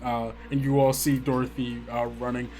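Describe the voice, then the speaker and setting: A man's voice: mid-range voice, flat delivery, moderate pace, a little mellow. A man narrating an actual-play tabletop game as game master, descriptive and a little hesitant in his storytelling. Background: guitar music under the voice.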